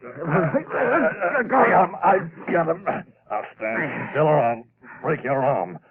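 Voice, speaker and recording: A tough cop in an old radio play, with a lively, threatening way of speaking and an unhurried pace. The broadcast sound is thin and tinny. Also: male voice, on the high side, crowd noise. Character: tough male policeman making an arrest. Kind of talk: 1930s radio drama acting.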